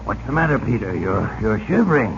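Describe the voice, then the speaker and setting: A man's voice: on the low side, very animated, brisk, creaky. An actor playing a hearty, boastful older businessman in a 1940s radio drama, with a jovial, avuncular manner. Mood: excited, teasing. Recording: dull, noisy 1940s radio recording.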